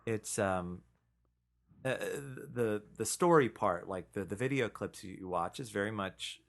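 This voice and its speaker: A man's voice: lowish voice, animated, unhurried. A man co-hosting a podcast in casual chat, enthusiastic and rambling as he talks. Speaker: podcast co-host.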